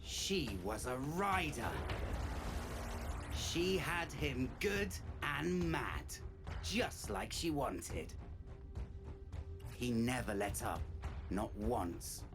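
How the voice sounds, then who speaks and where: An actor playing a grave storyteller recounting a heroic legend in a video game, solemn and measured. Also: medium pitch, animated, over music.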